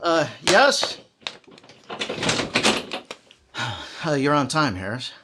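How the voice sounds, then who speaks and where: A man's voice: a little high, big pitch swings, very slow, heavy background noise, heavily acted. An actor playing an anxious, scheming man turned cold-blooded killer in an old-time radio thriller, terse and commanding. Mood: nervous, uneasy.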